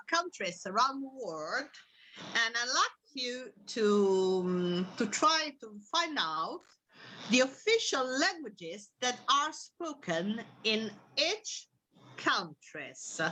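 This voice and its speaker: A woman's voice: a little high, pushed and bright, a little hiss or hum, rough recording. A woman teacher leading an interactive online group language lesson in an encouraging manner.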